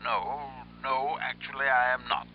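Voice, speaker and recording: An actor playing a mysterious, evasive caller in a 1950s radio drama, speaking in stiff, formal, clipped phrases over the telephone. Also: male voice, medium pitch, fairly even intonation, unhurried. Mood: cryptic. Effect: telephone call. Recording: muffled old radio recording with faint hiss.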